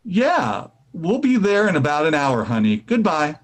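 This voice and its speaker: A man playing a brisk, amiable husband in old-time radio comedy, matter-of-fact. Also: fairly high pitch, big pitch swings. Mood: brisk, cheerful.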